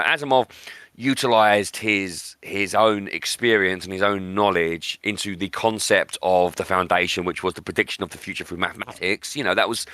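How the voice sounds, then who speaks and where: A man with a low, forceful voice hosts a book podcast. He argues his opinions emphatically and repeats words to drive them home. The sound is dry, with very little room to it. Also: no echo. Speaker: podcast host.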